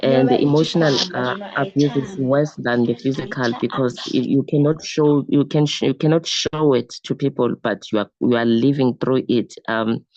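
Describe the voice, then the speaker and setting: A man reflecting casually in a group discussion, halting and repeating words as he thinks. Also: medium pitch, steady tone, darkish tone, no room sound, muffled recording. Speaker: book club participant.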